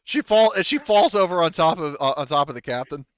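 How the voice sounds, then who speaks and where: A man's voice: a little high, animated, a little fast, clear, resonant tone, no echo, highs cut off. A man acting as game master, casually narrating a tabletop roleplaying game, swearing and laughing readily.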